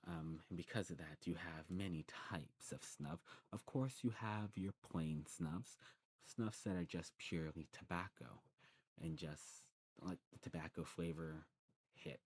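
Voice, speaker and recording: A man's voice: low-pitched, unhurried, a little mellow, slight background noise. A man doing an ASMR role-play as an eager demon shopkeeper, explaining things in a rambling, informal way. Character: male demon shopkeeper of a snuff shop, eagerly welcoming.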